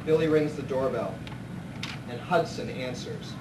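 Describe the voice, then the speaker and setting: A man's voice: mid-range voice, measured pace, lightly acted. A man narrating a live radio play as a plain-spoken storyteller. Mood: storytelling. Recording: echoey noisy hall, distant mic.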